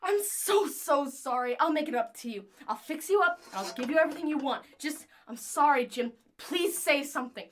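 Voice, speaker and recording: A woman's voice: high-pitched, expressive, fairly quick, hardly any pauses, a little hiss or hum. A woman playing an obsessive, lonely scientist and tinkerer in an audio drama, chatty and theatrical with a volatile intensity. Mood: frantic, pleading.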